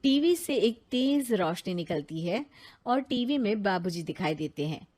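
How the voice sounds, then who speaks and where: Slow-paced woman storyteller. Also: mid-range voice, animated, a little mellow. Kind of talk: scripted story narration for a cartoon.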